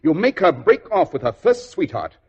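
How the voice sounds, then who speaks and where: A commanding detective, swooping intonation. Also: male voice, on the high side, sing-song, normal speed. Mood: scornful. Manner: precise, authoritative, theatrical. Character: shrewd, stern gentleman detective, coolly commanding. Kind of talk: 1940s radio acting. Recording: dull, husky old radio recording.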